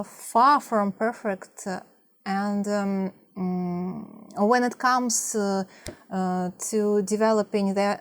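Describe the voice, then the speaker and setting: A woman in her thirties from Russia with a Russian accent, talking to camera in a soft, hesitant way with many fillers. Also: medium pitch. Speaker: language-learning vlogger. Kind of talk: partly scripted talk to camera.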